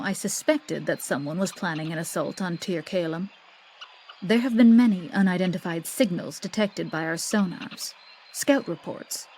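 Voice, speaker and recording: A woman's voice: on the low side, darkish tone, a little hiss or hum. A woman playing a stern, authoritative city councilor in a fantasy video game, speaking formally and with command. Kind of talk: English video game cutscene acting.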